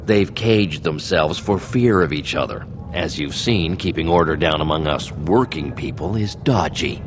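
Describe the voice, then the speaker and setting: A man voicing a stern, short-tempered dwarven guard captain in a fantasy video game, with a gravelly, curt and commanding delivery. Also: extremely low pitch, a little slow, background noise. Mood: gruff, dismissive. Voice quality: gravelly tough-guy tone.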